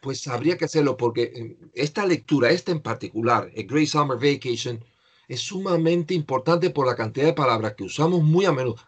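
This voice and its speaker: Older guy teaching an online class, kinda husky voice, patient, explains a lot. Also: medium pitch, animated, a little fast. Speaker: English teacher. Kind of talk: online class, coaching a student. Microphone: video call.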